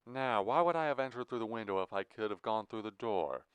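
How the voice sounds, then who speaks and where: A man's voice: fairly low pitch, expressive, brisk, clear, resonant tone, dry recording, pitched above the performer's own voice, natural voice. A man voicing a sinister, courteous audiobook villain who stresses every syllable with menacing glee. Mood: polite menace. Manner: over-enunciated, stressing every syllable evenly. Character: sinister, theatrically courteous male villain, madly gleeful.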